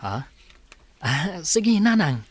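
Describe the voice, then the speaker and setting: A man's voice: fairly high pitch, sing-song, slow pace. A man with an Ilocano accent acting a humble, grateful, tender young man in an audio drama, polite and earnest. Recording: faint background noise.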